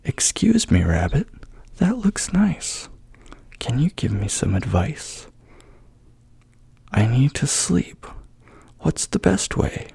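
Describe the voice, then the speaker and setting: A man voicing a polite, sleepless sheep from a bedtime storybook, soft, breathy and plaintive. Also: mid-range voice, huge pitch swings, takes it slowly, pauses often, no echo, pitched above the performer's own voice, heavily acted. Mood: politely pleading. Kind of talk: soft-spoken ASMR storybook reading.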